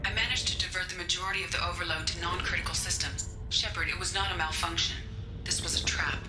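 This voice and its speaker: An actress voicing a composed female starship artificial intelligence in a video game, speaking in a precise, formal and measured way. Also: fairly low pitch, steady tone, roomy sound, loud music behind the voice, lightly acted. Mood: urgent.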